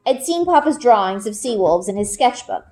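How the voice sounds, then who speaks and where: Female read-aloud narrator, teacherly and expressive, fairly high register with swooping intonation. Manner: storytelling, dramatic pauses and emphasis. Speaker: teacher reading aloud to students. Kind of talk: expressive children's book narration.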